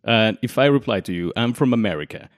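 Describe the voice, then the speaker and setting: Casting: young man from Mexico, lowish voice, dry close sound, argumentative host. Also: animated, fast, no echo. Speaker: podcast host. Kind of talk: conversational explainer.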